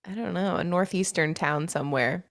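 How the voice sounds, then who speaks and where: A woman's voice: fairly low pitch, normal speed. A woman hosting a casual interview.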